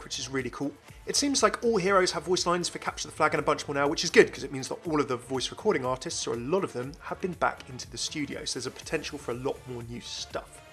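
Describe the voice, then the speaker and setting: A man's voice: fairly high pitch, a bit bright. A man hosting a gaming channel, giving chatty, enthusiastic, informal commentary on a video game.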